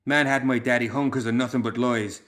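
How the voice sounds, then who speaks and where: A man voicing a bitter young male farmhand who blurts out rough country talk. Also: medium pitch, steady tone, a little fast, fairly bright tone, close to an everyday voice. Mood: angry.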